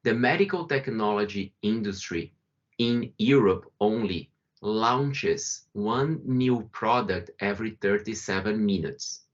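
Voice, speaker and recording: A man's voice: on the low side, a little slow. A man from Brazil with a Brazilian accent, giving a conversational webinar presentation over a video call, often restarting and correcting himself. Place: remote call from home or office. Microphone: video call microphone. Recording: muffled video call audio.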